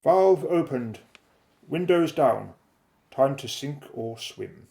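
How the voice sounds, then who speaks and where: A man's voice: on the high side, expressive, takes it slowly, creaky, dark tone, full character voice. An actor playing a bold, decisive male explorer in a radio drama, giving clipped, matter-of-fact orders. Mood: urgent, decisive.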